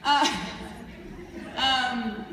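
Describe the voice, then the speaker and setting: A woman with a low voice answering fan questions at a convention panel, rambling with lots of fillers and restarts. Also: fairly even intonation, drawn-out words, background noise. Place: large convention hall. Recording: echoey hall, distant mic.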